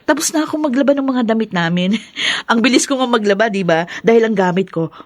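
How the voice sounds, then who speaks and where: A woman's voice: fairly high pitch, animated, fairly quick, no room sound, close to an everyday voice. A woman playing a cheerful, practical young newlywed wife in a Tagalog radio drama, chatty and good-natured.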